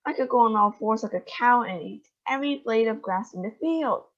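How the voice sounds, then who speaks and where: A woman's voice: on the high side, a little fast, lightly acted. Woman doing a gleeful old grandpa. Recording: dull lo-fi recording.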